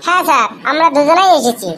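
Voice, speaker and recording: Woman voicing a high-pitched naive cartoon patient. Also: animated, fast, sharp tone, a little hiss or hum. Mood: earnest. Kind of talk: comic cartoon dialogue.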